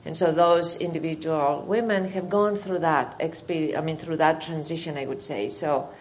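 A woman with a Greek accent and a slightly low voice speaks off the cuff as an academic in a public discussion. She phrases things carefully and hesitantly, over some background noise. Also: fairly even intonation, moderate pace. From Greece. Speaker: university faculty member. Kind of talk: spontaneous comment in a public discussion.

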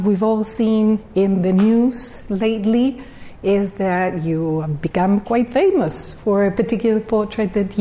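A woman introduces and interviews a guest on stage. Her voice is mellow and her phrasing is measured and formal. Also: mid-range voice. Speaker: moderator. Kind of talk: onstage interview.

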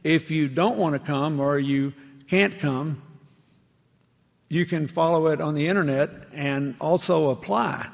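Gravelly man speaking in an echoey hall. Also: fairly high pitch, unhurried. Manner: conversational storytelling, earnest. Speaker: public speaker addressing university students. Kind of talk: public lecture with anecdotes.

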